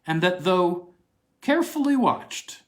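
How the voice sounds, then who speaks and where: A man reading a short story aloud as an expressive literary narrator. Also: high-pitched, slow. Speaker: reader of short stories.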